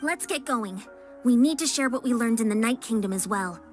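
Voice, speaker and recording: Woman doing an earnest young game heroine, high pitched, caring, bit of music under it. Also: animated, normal speed, natural voice. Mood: concerned, determined. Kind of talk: animated video game voice acting.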